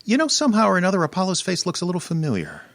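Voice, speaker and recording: A man's voice: sits high, wildly swooping pitch, rapid-fire, vocal fry, a bit bright, no echo, heavily acted. A man acting a brash, wisecracking detective's assistant who also narrates, in old-time radio mystery style. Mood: matter-of-fact. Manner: wry, colloquial, breezy.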